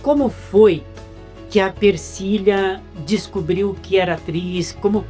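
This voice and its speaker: A man's voice: sits high, steady tone, takes it slowly, warm tone, faint music behind. A man with a Brazilian Portuguese accent hosting a casual interview, often repeating words as he gets going. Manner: stammering repetitions. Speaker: interviewer host.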